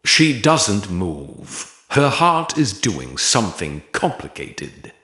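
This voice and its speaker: Male narrator for dark romance audiobook: very slow, deliberate phrasing, sweeping intonation, roomy echo. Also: lowish voice, big pitch swings. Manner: deliberate, dramatic pauses between short phrases.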